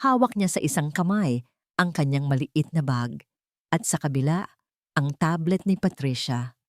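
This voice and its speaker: A woman's voice: sits low, lively intonation, normal speed, no echo. A woman narrator telling a scripted story in a dramatic storytelling style, recorded close.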